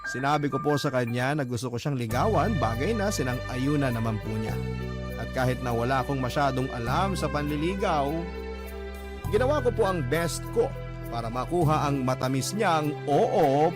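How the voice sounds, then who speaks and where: A man narrating a listener's love letter in a dramatic, expressive radio style. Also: mid-range voice. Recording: narration over clear music bed.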